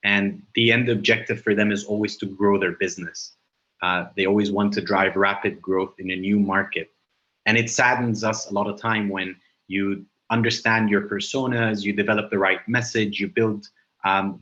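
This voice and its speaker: A man's voice: lowish voice, bright, forceful tone, lo-fi sound. A man whose first language is Arabic, giving a webinar presentation over a video call and explaining with frequent hesitations and fillers.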